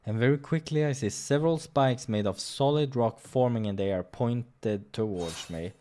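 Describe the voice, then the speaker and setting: A man's voice: mid-range voice, normal speed, warm tone. Man narrating a game playthrough. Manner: stumbles over read lines and corrects himself. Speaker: let's player reading a visual novel aloud. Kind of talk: gaming playthrough, reading narration with casual asides.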